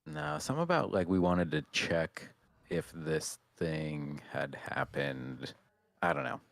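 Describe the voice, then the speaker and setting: A man's voice: deep voice, big pitch swings, measured pace, gravelly, fairly bright tone, no room sound. A man co-hosting a podcast in casual, unscripted chat. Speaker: podcast co-host.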